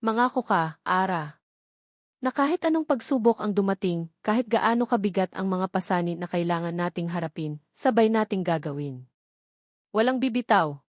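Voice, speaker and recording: A woman's voice: mid-range voice, lively intonation, moderate pace, no room sound, clean studio sound, close to an everyday voice. A Filipino woman voicing a devoted, earnest man in love in a romance audio story. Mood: earnest, pleading. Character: devoted, earnest young male architect in love.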